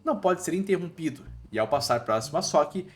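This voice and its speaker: A man's voice: fairly high pitch, lively intonation, fast. A man with a Brazilian accent narrating a scripted story recap in casual, slangy language. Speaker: narrator of manhwa recap videos. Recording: voice-over with music underneath.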